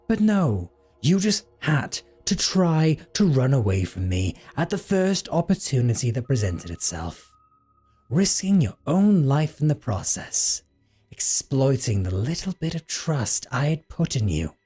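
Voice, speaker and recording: A man playing a possessive, coldly mocking vampire in a dramatic roleplay monologue, with a condescending, theatrical delivery and a husky, breathy voice. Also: mid-range voice, sing-song, a little slow, warm tone, faint music behind. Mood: angry, scolding.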